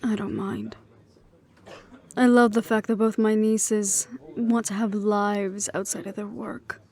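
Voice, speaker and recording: A woman playing a composed, dutiful middle-aged leader, speaking in a measured, reflective way in an audio roleplay. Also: mid-range voice, little pitch movement. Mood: fond, proud. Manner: measured, reflective, quietly firm.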